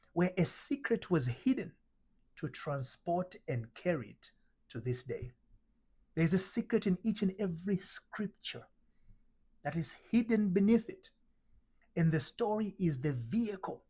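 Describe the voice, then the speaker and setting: Slow emphatic husky-voiced man from Tanzania. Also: high voice, a little mellow. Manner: slow, emphatic, with rhetorical questions. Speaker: identity transformation coach. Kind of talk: spiritual teaching talk.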